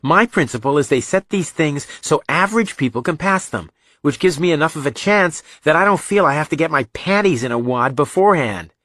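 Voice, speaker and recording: Man voicing a cocky boy, pitched up high. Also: lively intonation, brisk, a bit bright, no echo. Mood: defiant. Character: cocky, defiant young boy, blunt and argumentative. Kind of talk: audiobook character dialogue.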